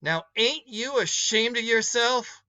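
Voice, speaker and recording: A man's voice: very high voice, animated, slow, pushed and bright, no room sound, lo-fi sound, pitched above the performer's own voice, exaggerated voice. A man voicing a large, stern woman who scolds in a commanding, folksy way, as in a read-aloud short story. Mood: stern, scolding.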